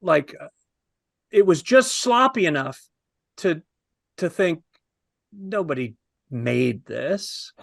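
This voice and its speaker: A man in his fifties with a fairly high voice that crackles with fry. He talks casually and admiringly as a panelist reviewing a film, and the sound is close and dry. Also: lively intonation, slow, pauses often, a bit bright, no room sound. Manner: enthusiastic, admiring. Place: indoors, on a video call.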